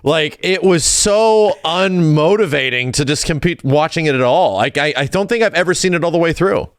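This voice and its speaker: A man's voice: fairly high pitch, animated, moderate pace, clear, resonant tone, no room sound, studio quality. A man hosting a podcast, giving casual, opinionated film talk in an emphatic, sweary way. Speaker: podcast host.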